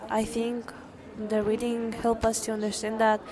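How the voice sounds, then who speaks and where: A young woman of eighteen speaks for a class video in a somewhat high, level voice. She hesitates often, filling gaps with "um." Voices of other people chatter behind her. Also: completely flat delivery, moderate pace. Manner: hesitant, many um fillers. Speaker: English pedagogy student.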